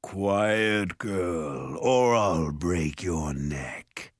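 A huge, cruel ogre from a storybook. He booms and growls his boastful threats very slowly, drawing out each grand phrase with menace. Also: lively intonation, no room sound, clean studio sound, heavily acted. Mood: growling anger. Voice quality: booming, deep growl. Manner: slow, drawn-out, grandiose threats.

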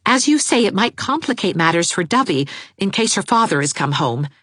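A woman voicing a brisk, sharp-tongued young woman who gives sarcastic orders. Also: mid-range voice, big pitch swings, no echo, clean studio sound, voice pushed up.

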